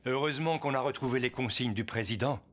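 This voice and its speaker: An actor playing a scheming, flattering male henchman, speaking formally and persuasively in a French-dubbed cartoon. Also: medium pitch, quick pace, natural voice. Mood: smugly confident.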